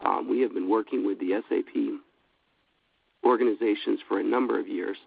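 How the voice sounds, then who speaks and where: A low, gravelly man with a cold presents at a steady, businesslike pace, with frequent fillers. The sound is thin and phone-like and very dry. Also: fairly quick, no echo. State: has a cold. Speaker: webinar presenter. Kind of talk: scripted product presentation.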